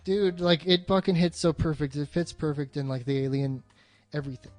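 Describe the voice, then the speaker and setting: A man's voice: on the high side, little pitch movement, a little fast, faint music behind. A man co-hosting a casual film chat, slangy and profane in his eager agreement. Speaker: podcast co-host.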